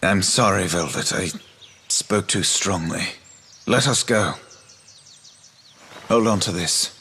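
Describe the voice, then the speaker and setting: An actor playing a bitter, proud young outcast brother in a fantasy game, scornful and dramatic with archaic phrasing. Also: male voice, low voice, slow, pushed and bright, a little hiss or hum, exaggerated voice. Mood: remorseful.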